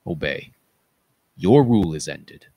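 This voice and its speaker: A man playing a stern, measured new ruler, speaking in firm, clipped commands in a reading of Greek tragedy. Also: sits low, expressive, dark tone, breathy edge, no echo, exaggerated voice. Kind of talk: read-aloud Greek tragedy.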